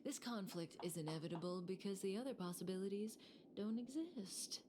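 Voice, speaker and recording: A woman's voice: medium pitch, expressive, moderate pace, dark tone, a little hiss or hum, natural voice. An actress playing a poised, teasing woman villain in a fantasy video game, with composed, fatalistic delivery. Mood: calm, ominous. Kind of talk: video game character acting.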